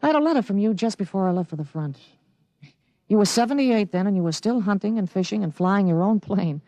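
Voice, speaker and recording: A man's voice: high-pitched, expressive, fairly quick, warm tone, dry recording. An actor playing a precocious thirteen-year-old boy with an adult's memories, matter-of-fact and articulate, in 1950s radio drama style. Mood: calm, certain. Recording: vintage radio broadcast.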